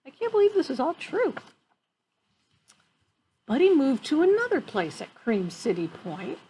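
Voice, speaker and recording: Animated higher-voiced woman reading a storybook. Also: low-quality recording. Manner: expressive, pausing to comment on pictures. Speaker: storyteller reading a picture book to children. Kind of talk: read-aloud storytime with casual asides. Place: outdoor wooden deck among trees. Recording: clear background noise, outdoor ambience.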